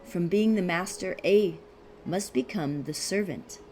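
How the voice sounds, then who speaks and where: A woman reading a philosophical text aloud as scripted narration, in a measured, deliberate way with pauses. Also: fairly low pitch, darkish tone, slight background noise. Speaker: audiobook reader.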